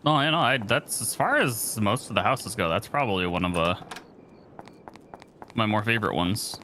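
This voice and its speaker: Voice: medium pitch, animated, normal speed, pushed and bright, a little hiss or hum. A co-streamer chatting casually during gameplay. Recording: music behind the voice.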